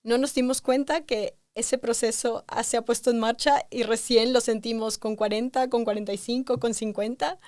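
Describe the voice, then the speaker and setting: A woman in her forties, a doctor guest on an interview, speaking calmly and reflectively. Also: a little high, normal speed, hardly any pauses, no echo, studio quality. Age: 40s. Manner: calm, reflective, explains thoughtfully.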